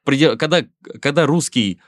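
A man's voice: a little high, normal speed, no room sound. A middle-aged Russian man, a musician guest in a casual interview, talking wryly in rambling sentences with many fillers and restarts.

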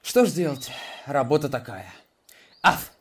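A man's voice: medium pitch, expressive, unhurried, distant mic, lightly acted. A blunt, restless male dog from an audio tale who speaks plainly and tacks on a bark, as a man acts him out. The voice sits a little back from the mic with faint noise behind.

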